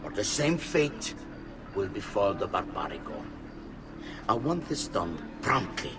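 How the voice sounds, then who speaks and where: Deep, commanding male villain. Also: very animated, noisy background. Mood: stern warning. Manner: measured, imperious, menacing. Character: stern, commanding older villain, head of a conspiracy. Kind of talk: video game cutscene acting.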